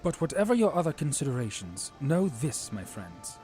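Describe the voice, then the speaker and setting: A man's voice: on the high side, very animated, moderate pace, darkish tone, faint music behind. A man playing an earnest, courteous fantasy hero and troubled leader, speaking formally and solemnly in an audio drama. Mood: grave, urging.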